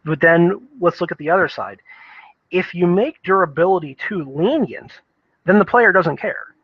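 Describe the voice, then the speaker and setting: A man giving an unscripted opinion monologue about video games, thinking aloud in a halting way and repeating words as he goes. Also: high voice, husky, no room sound, phone-quality sound. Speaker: gaming commentator.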